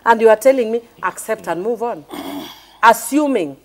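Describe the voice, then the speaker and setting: A woman's voice: a little high, huge pitch swings, normal speed, darkish tone. A Kenyan woman on a televised panel, arguing her point with passion and repeating it insistently. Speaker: member of parliament, panel guest. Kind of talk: heated panel debate.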